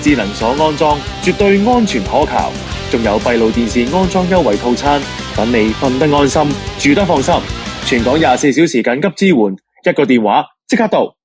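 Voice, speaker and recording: Loud, punchy ad announcer with a Hong Kong Cantonese accent, lively pitch, guitar music behind, voiced by a man. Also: a little fast, lightly acted. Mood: salesy. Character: punchy male ad announcer for a lock company. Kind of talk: commercial voice-over. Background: plucked guitar music.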